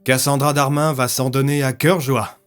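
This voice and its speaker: A blunt, sardonic police inspector acted by a male narrator in an audio story. He grumbles dryly in a mid-pitched voice, with music underneath. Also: level tone, normal speed, smooth, lightly acted.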